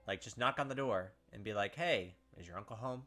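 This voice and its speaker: A man hosting a gaming video, reading a visual novel aloud with animated, blunt casual commentary. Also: fairly low pitch, fairly quick, faint music behind.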